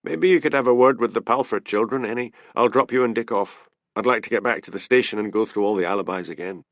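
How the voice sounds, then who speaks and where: A man's voice: fairly low pitch, quick pace, no room sound, lo-fi sound, natural voice. A man voicing a stern, brusque Scottish policeman with a curt, demanding way of talking, in an audiobook story. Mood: businesslike.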